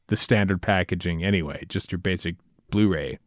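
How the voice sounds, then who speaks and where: Low-voiced North American man, casual podcast chat. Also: brisk, no room sound, studio quality. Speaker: podcast co-host.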